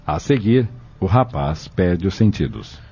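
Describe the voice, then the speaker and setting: A dark-voiced, husky man narrates an audio drama from a script. His voice is fairly low, and the muffled recording has soft music behind it. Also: sing-song, unhurried.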